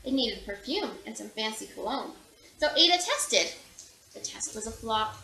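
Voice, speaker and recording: A woman reading a children's picture book aloud in an animated, theatrical way, acting out the characters. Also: a little high, normal speed, roomy sound, low-quality recording. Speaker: storybook reader.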